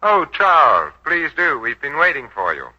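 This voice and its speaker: An actor in a 1940s radio drama playing a suave, commanding business tycoon with urbane, polished courtesy. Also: male voice, on the high side, wildly swooping pitch, normal speed. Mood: pleased. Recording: dull 1940s radio recording.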